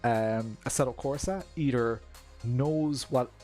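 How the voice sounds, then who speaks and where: An Irish man with a mid-pitched voice chats casually with viewers on a sim racing stream. He is self-deprecating and laughs easily, and faint music plays underneath him. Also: slow, dark tone. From Ireland. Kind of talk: casual live-stream chat.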